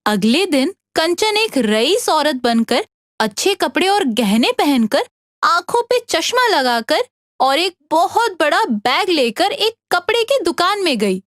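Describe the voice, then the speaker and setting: Very high-voiced woman storyteller, lively delivery. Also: takes it slowly, no room sound, studio quality. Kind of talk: scripted narration of an animated Hindi story.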